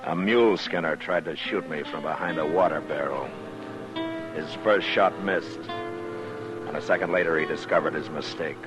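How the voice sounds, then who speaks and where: An actor playing a weary, principled frontier marshal, terse and dry, in a vintage Western radio drama. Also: male voice, a bass voice, moderate pace, over music. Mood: grim, narrating. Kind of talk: 1950s radio drama acting, some narration.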